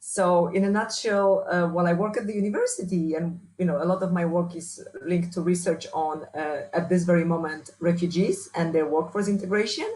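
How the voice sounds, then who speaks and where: A woman with a strong Polish accent answering interview questions as a researcher and trainer, often restarting and filling pauses. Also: fairly low pitch, fairly even intonation, a little mellow, a little hiss or hum, rough recording. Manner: hesitant, many restarts and fillers. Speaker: workshop speaker, researcher.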